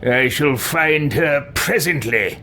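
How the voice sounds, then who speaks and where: A man's voice: fairly high pitch, slow, sharp tone. A man voicing a pompous, grandiose adventurer in video game roleplay, haughty and theatrical, stammering when confused. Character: pompous, grandiose male adventurer bent on ruling. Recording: game music behind the voice.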